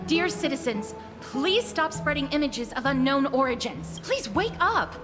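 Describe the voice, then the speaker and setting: A woman's voice: sits high, sing-song, a little slow, over loud music. A woman playing a prim, disapproving citizen in a video game, earnest, formal and admonishing. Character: prim, disapproving, sensible public-minded citizen.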